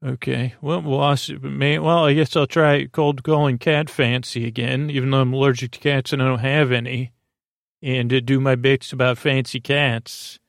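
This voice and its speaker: A man hosting a sleep podcast, giving a meandering, halting bedtime monologue with many digressions in a gravelly, creaky voice. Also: mid-range voice, little pitch movement, dry recording, studio quality.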